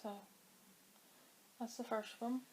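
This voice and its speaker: A woman's voice: on the high side, flat delivery, slow, darkish tone, a little hiss or hum. A woman vlogging about her knitting in a chatty, rambling way, her throat dry as she comes down with a cold. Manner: chatty and rambling, with many fillers. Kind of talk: casual chat to camera.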